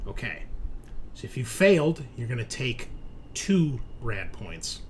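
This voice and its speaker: A man's voice: medium pitch, big pitch swings, measured pace. A man acts as game master for a tabletop roleplaying game, talking in a casual, conversational style with a mid-range voice. He moves between narrating scenes and explaining rules. There is some noise in the recording. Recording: noticeable background noise.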